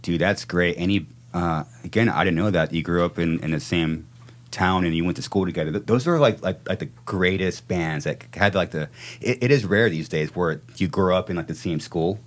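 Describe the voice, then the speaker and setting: Deep-voiced podcast host guy, hyped up and slangy, stumbles over his words. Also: moderate pace. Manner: enthusiastic, slangy, repeats words. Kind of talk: casual interview chat.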